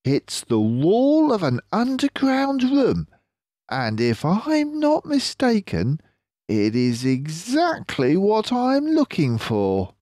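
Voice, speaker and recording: A sly, self-assured gentleman fox, as played by a man reading a storybook. The voice leaps high and swoops widely, lingering theatrically on words with slow, emphatic relish. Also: wildly swooping pitch, no room sound, studio quality, full character voice. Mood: smug. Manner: theatrical, emphatic, drawn-out delivery. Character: cocky, suave male fox, sly leader and provider.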